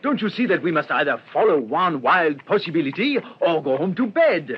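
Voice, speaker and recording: An urgent, commanding male detective in a radio crime play. His slightly high voice swings with lively excitement through a noisy recording. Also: a little fast. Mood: urgent, excited. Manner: urgent, exclamatory. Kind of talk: radio crime drama acting. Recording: dull radio drama recording with clear noise.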